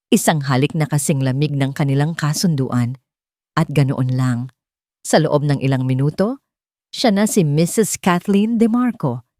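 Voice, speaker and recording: A woman narrating a scripted romance story in a soft, measured storytelling manner. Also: sits low, no room sound, clean studio sound.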